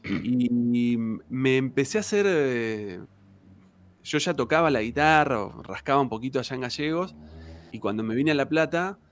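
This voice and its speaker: A man's voice: mid-range voice, lively intonation, normal speed. A man in his thirties from southern Argentina with an Argentine accent, a tango singer chatting loosely in an interview, full of fillers and tag questions. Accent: Argentine Spanish. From Argentina, Santa Cruz province. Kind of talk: casual interview storytelling.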